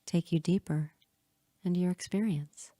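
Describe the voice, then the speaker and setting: A woman leading a guided hypnosis meditation in a soothing, hushed manner, leaving long pauses between short phrases. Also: fairly low pitch, dry recording, studio quality.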